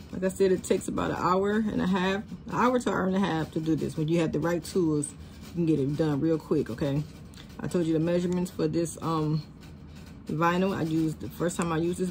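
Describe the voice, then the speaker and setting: A woman talks viewers through a craft project, her voice a touch raspy and pitched fairly low. She keeps it chatty and informal, calling her audience y'all. A faint hiss sits under the studio sound. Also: a little mellow. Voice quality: slightly raspy. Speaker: crafter teaching shoe decorating. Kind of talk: casual craft tutorial. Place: craft studio with sewing and embroidery machines. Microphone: camera over worktable.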